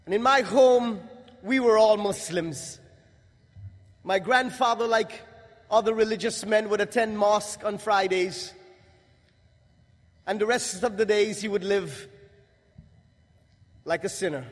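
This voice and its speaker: A young man from Guyana giving a religious testimony to an audience, in a measured, emphatic delivery with pauses. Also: sits high. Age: young adult. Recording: long hall reverb.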